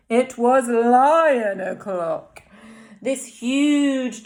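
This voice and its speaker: A woman with an English accent, a teacher speaking and reading to young children in an expressive, dramatic storytelling way. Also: medium pitch. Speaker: infant school teacher. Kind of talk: reading a picture book aloud to young children.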